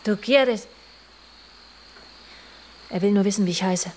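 A woman's voice: mid-range voice, a little slow, a little mellow, slight background noise, natural voice, low-quality recording. An actress in a German radio drama playing a worldly wife who confides in her husband about their restless, jealous marriage. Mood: tense, defiant. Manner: literary, confiding, addressing her husband directly. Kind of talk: German radio drama, intimate narration and dialogue. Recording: music behind the voice. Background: music.

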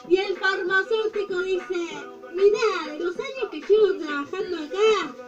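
A woman's voice: very high-pitched, normal speed. A woman playing a slangy, playful joke teller with an Argentine accent in an amateur radio play. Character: slangy, slow-talking joke teller hosting a joke round. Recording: muffled, distant, music behind.

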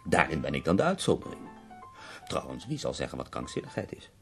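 An actor playing a dying, bedridden poet in a radio play, theatrical and wry, complaining with witty self-pity. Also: male voice, very deep voice, sing-song, brisk, soft background music. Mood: sardonic.

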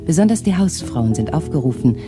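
An actor playing a radio announcer reading an official warning in a formal, measured tone. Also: mid-range voice, sing-song, music in the background, natural voice.

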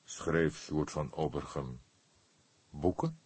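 Guy doing a calm old police detective for an audiobook, low husky voice, takes his time, hesitant but doubting. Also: dry recording, exaggerated voice. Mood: probing. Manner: hesitant, deliberate, quietly sceptical. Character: calm, probing, grey-haired older male police detective.

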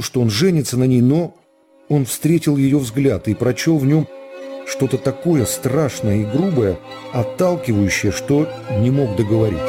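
Mellow dark-voiced man narrating literature. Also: medium pitch, big-room sound. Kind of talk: scripted dramatic literary narration. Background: music.

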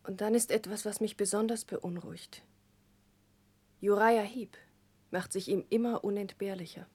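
Actress as a breathy, worried young woman. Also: medium pitch, fairly even intonation, moderate pace, close to an everyday voice. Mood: troubled, sorrowful. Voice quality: breathy, hushed. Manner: earnest, confiding. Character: Agnes, gentle, anxious young woman confiding her worry. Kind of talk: 1960s German radio drama acting.

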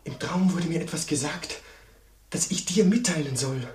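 An actor playing a humble, earnest monk in a radio drama, deferential and hesitant, with an agitated intensity. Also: male voice, on the high side, normal speed, darkish tone, husky. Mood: urgent, awed. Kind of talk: German radio play acting.

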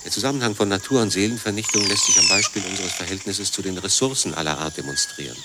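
A man reading as a critical writer and doctor in a radio play, in a measured, intellectual manner. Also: low voice, very noisy. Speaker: reader of a philosophical essay. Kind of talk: scripted essay reading in a radio drama.

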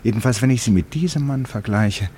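A man's voice: medium pitch, a little fast, darkish tone, a little hiss or hum, lightly acted. An actor playing an eccentric, stubbornly certain Englishman in a German radio play, declaiming with emphatic, rhetorical delivery. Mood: musing, certain.

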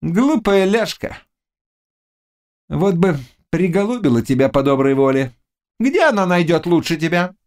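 A man voicing a mocking, scheming Cossack witch woman in an audiobook, with loud, taunting exclamations. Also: high-pitched, sing-song, measured pace, pauses often, voice pushed up, exaggerated voice. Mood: goading. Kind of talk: audiobook character dialogue.

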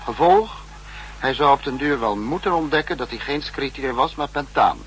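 A man's voice: medium pitch, normal speed, a bit bright, close to an everyday voice. Man playing a stern, lecturing spy chief. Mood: stern, analytical. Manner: formal, lecturing. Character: stern, analytical spy-state leader reproaching his subordinate. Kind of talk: 1960s radio drama acting. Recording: noisy, humming old radio recording.

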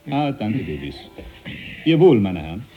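An actor playing a stern, devout British naval captain in a radio drama, brusque, blunt and commanding. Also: male voice, lowish voice, very animated, moderate pace, narrow, tinny audio, music in the background. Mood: scornful.

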